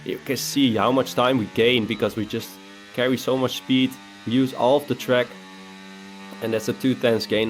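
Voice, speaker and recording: A man, talking through his racing game laps in a laid-back, chatty voice-over while nursing a cold. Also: on the low side. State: has a cold. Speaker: racing game content creator. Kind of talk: casual gameplay commentary voice-over.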